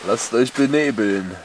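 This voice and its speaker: A man jokingly putting on a solemn, drawn-out voice as a peace-spreading chief in a forest shelter, recorded with background noise. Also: mid-range voice. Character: smoking man mock-playing a peace-bringing chief. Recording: noisy outdoor camp audio.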